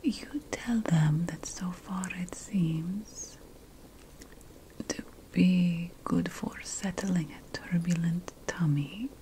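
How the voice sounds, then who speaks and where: A woman whispering in a breathy, gentle, conspiratorial way, playing a secretive ancient witch in an ASMR fantasy roleplay. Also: fairly low pitch, drawn-out words, a little hiss or hum, low-quality recording. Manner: gentle, conspiratorial, addressing the listener as an assistant. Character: secretive ancient witch priestess researching magical potion plants.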